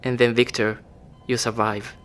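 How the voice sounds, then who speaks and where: A man playing a calm, grave facility doctor in an audio drama. He speaks deliberately, with clinical care, in a middle-pitched voice. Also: smooth, lightly acted. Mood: calm, reassuring. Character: calm, grave male facility doctor breaking bad news.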